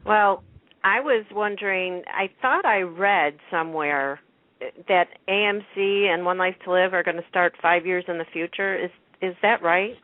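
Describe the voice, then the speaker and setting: A woman's voice: fairly low pitch, normal speed, dry recording. A woman chatting casually and agreeably as a radio show panelist over a thin phone line. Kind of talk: casual chat. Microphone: telephone call.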